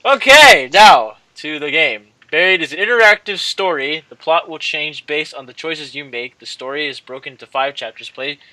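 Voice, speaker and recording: A man's voice: a little high, lively intonation, normal speed, few pauses, bright, forceful tone, dry recording, poor audio. A man hosting a gaming video, chatting casually and reading story text aloud, often stumbling and repeating words.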